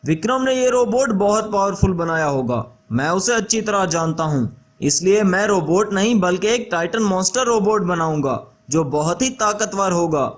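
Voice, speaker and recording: A man's voice: high voice, lively intonation, moderate pace, hardly any pauses. A man voicing a greedy, vengeful traitor scientist in a children's story, boastful and threatening.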